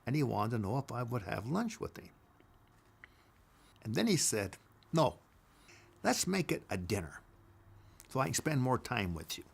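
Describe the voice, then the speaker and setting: An older man telling a personal story from his past, emphatic, swinging between loud declarations and hushed asides. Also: medium pitch, big pitch swings, moderate pace, gravelly, long pauses, slightly rough.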